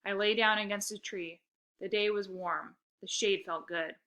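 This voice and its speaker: Bright-voiced woman reading a story. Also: mid-range voice, moderate pace. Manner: expressive storytelling. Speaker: read-aloud narrator. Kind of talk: scripted children's story narration.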